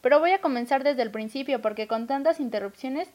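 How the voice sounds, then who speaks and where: A woman's voice: high-pitched, fairly quick, background noise, natural voice. An actress with a Mexican accent playing an impatient, bossy older woman in a radio drama, blunt and sarcastic.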